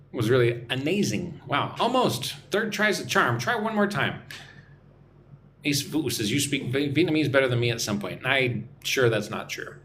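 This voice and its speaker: A young man from Texas runs a live-stream English class in a chatty, joking tone. His pitch moves around a lot as he reads viewers' comments and answers them. A faint hum of room ambience sits under his voice. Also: a bit bright. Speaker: English teacher and livestream host. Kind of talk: live-stream English lesson, reading chat. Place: home room in Vietnam.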